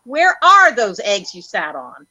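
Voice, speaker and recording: Sharp-voiced assertive young wife, brisk, skeptical, old-time radio comedy, dull lo-fi recording. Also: female voice, mid-range voice, huge pitch swings, no echo, heavily acted. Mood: challenging. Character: shrewd, bargain-hunting, assertive young wife. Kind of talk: 1940s radio comedy acting.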